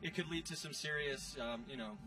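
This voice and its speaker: A man hosting a casual sports post-game interview, chatty and excitable, with slangy, teasing delivery. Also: a little high, fairly quick, distant mic, low-quality recording. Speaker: show host interviewer. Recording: off-mic, music playing behind. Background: music.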